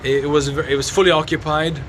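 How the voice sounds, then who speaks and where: A man livestreaming from his phone outdoors at night, answering viewers' questions in a halting, sombre way with many restarts. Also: fairly high pitch, little pitch movement, fairly bright tone. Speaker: livestreamer reporting a fire. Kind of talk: live phone stream, answering viewer comments. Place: outdoors in a city compound at night. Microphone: phone mic. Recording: noisy outdoor phone stream.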